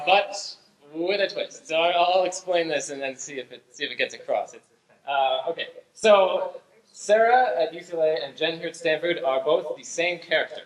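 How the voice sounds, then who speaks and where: A man hosting a live improv show, explaining games in a hesitant, halting way. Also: a little high. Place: theatre stage in front of audience. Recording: echoey live stage room.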